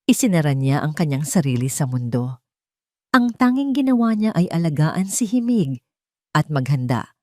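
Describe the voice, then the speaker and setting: A woman's voice: on the low side, dark tone, dry recording, studio quality. A woman with a Filipino accent narrating a dramatic story in a solemn, emotive, scripted style.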